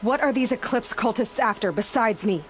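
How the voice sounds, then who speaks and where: An actress playing a determined, guarded young huntress in a video game, asking blunt and direct questions in terse phrases. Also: female voice, fairly high pitch, moderate pace, faint music behind, natural voice. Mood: insistent. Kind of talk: dramatic video game dialogue.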